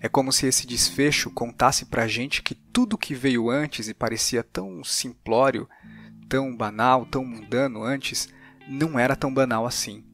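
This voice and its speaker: A man's voice: mid-range voice, moderate pace, fairly bright tone, faint music behind. Brazilian guy hosting a podcast, thoughtful discussion, mid-range voice.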